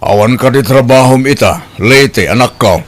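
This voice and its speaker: A man's voice: mid-range voice, normal speed, music in the background, close to an everyday voice. A man playing a concerned father who questions and admonishes his grown daughter, with an Ilocano accent, in a radio-style comedy drama. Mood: scolding. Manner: questioning, lecturing.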